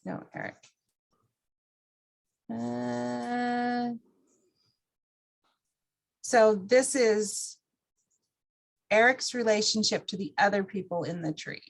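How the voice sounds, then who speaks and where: Creaky-voiced woman leading an informal class. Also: a little high, extremely slow, long pauses. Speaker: class instructor. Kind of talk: informal instructional talk with group questions.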